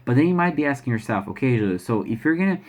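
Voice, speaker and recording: A man's voice: medium pitch, big pitch swings, very fast. A young man from Brazil with a Brazilian Portuguese accent, speaking English as a second language in a casual vlog, often restarting and repeating words. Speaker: language learner sharing exam experience. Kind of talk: casual vlog talk to camera.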